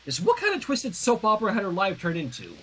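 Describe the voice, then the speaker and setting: A man reading fan fiction aloud on a livestream in an expressive, dramatic way, with casual asides. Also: high voice, fast talker, slight background noise. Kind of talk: animated story narration on a livestream.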